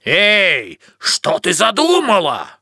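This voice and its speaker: A man voicing gruff but helpful male sea creatures in a children's cartoon, speaking deliberately. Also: high voice, wildly swooping pitch, sharp tone, no echo, studio quality, heavily acted. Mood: stern, gallant.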